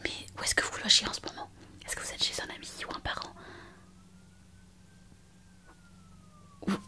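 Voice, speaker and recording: A woman plays a chatty, eager young medical intern in a whispered ASMR roleplay. Her breathy voice moves up and down in a lively way at a medium pitch. She is kind, attentive and gently reassuring, with small hesitations. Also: close to an everyday voice. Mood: concerned.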